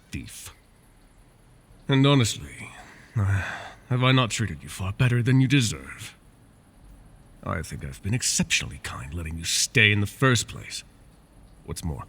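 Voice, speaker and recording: Man doing a scheming dragon, teasing, possessive, very deep, lively pitch swings, gravelly, soft whispers. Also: full character voice. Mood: scolding. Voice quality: gravelly, low. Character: possessive, scheming male dragon keeping a captive. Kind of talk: ASMR fantasy roleplay.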